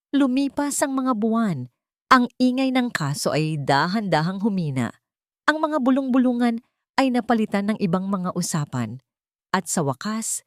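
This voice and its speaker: A woman narrating a scripted dramatic story as an audiobook, with expressive phrasing. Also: fairly low pitch, no room sound, clean studio sound.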